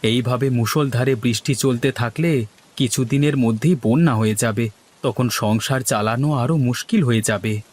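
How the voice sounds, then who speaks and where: A man's voice: medium pitch, moderate pace, a little mellow, lightly acted. A man voicing village men in a cartoon, from schemers to worriers, with theatrical, exaggerated dialogue delivery. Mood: worried.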